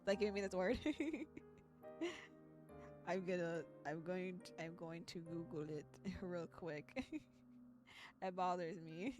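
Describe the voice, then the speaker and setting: A woman's voice: fairly low pitch, takes it slowly, long pauses, music in the background. A woman chatting casually on a livestream while she plays a visual novel, with playful, giggly asides. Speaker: VTuber streamer and voice actor.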